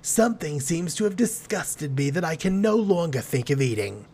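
A prim and formal woman acted by a man in an audiobook. The voice is raised in pitch, with deliberate, easily appalled phrasing. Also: animated, darkish tone, husky. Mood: disgusted. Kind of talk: audiobook character voice.

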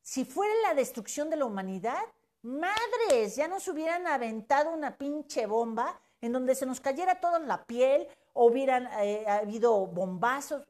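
A woman's voice: sits high, lively intonation, measured pace, darkish tone. A Mexican woman in her fifties giving an emphatic, preachy motivational talk on social media, with casual swearing. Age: 50s.

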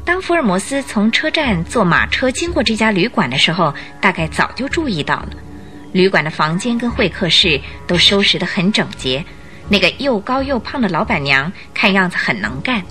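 Female radio drama narrator: medium pitch, bright and crisp-edged, very lively storytelling over music. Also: normal speed.

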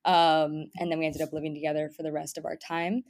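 A woman's voice: low voice, little pitch movement, a little fast, a little mellow, no room sound, studio quality. A woman in her thirties from Miami, chatting casually with fillers as a podcast guest. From Miami, Florida, US. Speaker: guest, head of growth. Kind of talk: casual interview talk.

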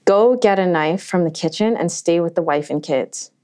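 A woman's voice: fairly low pitch, moderate pace, dark tone, lightly acted. A woman voicing an armed male gang leader who gives curt, commanding orders.

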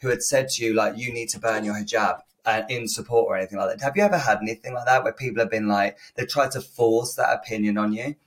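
A man's voice: on the low side, steady tone, quick pace, few pauses. A man hosting a casual interview, speaking politely and tentatively, with rambling questions. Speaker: podcast host.

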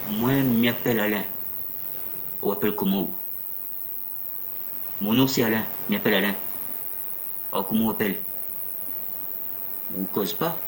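A man playing a simple, childlike fisherman in an audio drama, speaking in excitable, repetitive colloquial bursts. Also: lowish voice, moderate pace, long pauses, slight background noise, close to an everyday voice, rough recording. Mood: insistent. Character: simple, taciturn fisherman Alain, childishly overjoyed. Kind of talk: audio fiction character acting.

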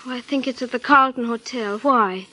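A nervous american lady client, slightly high voice, hesitant, hiding something, old radio mystery. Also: moderate pace, bright, forceful tone. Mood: uneasy. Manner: hesitant, demure, guarded. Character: anxious, evasive young female client in trouble. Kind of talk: 1940s radio detective drama acting.

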